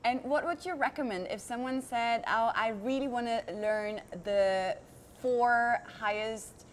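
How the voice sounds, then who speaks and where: A woman's voice: a little high, a little slow, slight background noise. A woman interviewing guests, asking curious questions and rephrasing them as she goes.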